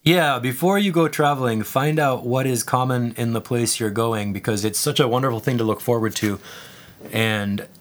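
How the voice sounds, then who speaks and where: A man speaking as an interview guest, relaxed and rambling with frequent fillers. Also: mid-range voice, moderate pace. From United States. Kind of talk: conversational interview.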